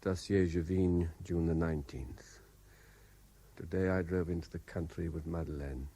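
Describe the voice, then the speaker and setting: A man's voice: low voice, steady tone, moderate pace, dark tone. A British actor playing a guilt-ridden, evasive man in a radio drama, with a faltering, hesitant delivery. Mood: grim, guilt-stricken. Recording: noisy vintage 1970s radio drama recording.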